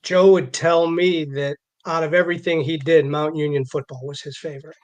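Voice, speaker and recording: Higher-voiced American man, halting casual talk. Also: fairly even intonation. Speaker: live show host and interviewer. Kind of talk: casual conversational interview.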